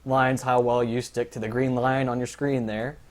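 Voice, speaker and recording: A man's voice: mid-range voice, flat delivery, moderate pace. A young man briefing drivers on a casual livestream as the league organizer, rambling with lots of fillers and jokes. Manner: rambling, filler-heavy, joking.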